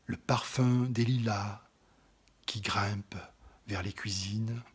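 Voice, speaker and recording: A man giving a slow, dramatic poetry recitation, often dropping into an intimate whisper and swelling louder on some phrases. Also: fairly low pitch, fairly even intonation, slightly rough.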